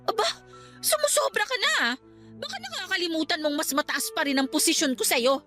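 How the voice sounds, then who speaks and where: A woman's voice: very high voice, expressive, a little fast, sharp tone, faint music behind, exaggerated voice. An actress playing a haughty, threatening female office superior in a Filipino radio drama, dismissive and condescending.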